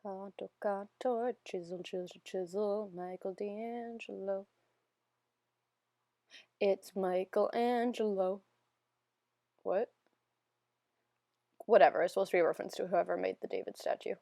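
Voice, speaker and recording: Chatty young woman, gravelly and dry. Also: medium pitch, steady tone, a little slow, long pauses, warm tone, no echo. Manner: chatty, self-deprecating, rambling with fillers. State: slightly hoarse, recovering from illness. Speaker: beauty vlogger. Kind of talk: casual voiceover for a makeup tutorial.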